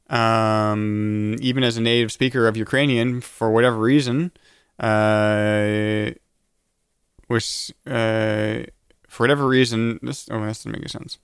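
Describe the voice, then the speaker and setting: Smooth-voiced man, casual podcast co-host. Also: on the low side, steady tone, slow pace, no room sound, studio quality. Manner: reads notes aloud, stumbles and restarts. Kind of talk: casual chat reviewing a TV episode.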